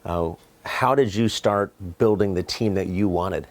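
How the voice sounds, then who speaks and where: A man's voice: low-pitched, a little slow. Friendly male interview host. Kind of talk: interviewer, upbeat opener to camera.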